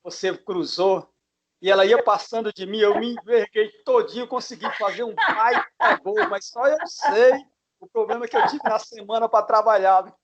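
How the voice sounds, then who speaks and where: A man's voice: sits high, expressive, moderate pace. A man hosting a casual interview, friendly and familiar with his guest, asking questions and sharing his own memories. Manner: friendly, calls guest "my dear". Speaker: interviewer, host. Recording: dull lo-fi sound.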